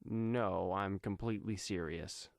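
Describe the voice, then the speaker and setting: A man's voice: deep voice, measured pace, gravelly, no echo, studio quality. A man voicing a stubborn young male vigilante in a superhero audio story, matter-of-fact and resolute. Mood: determined.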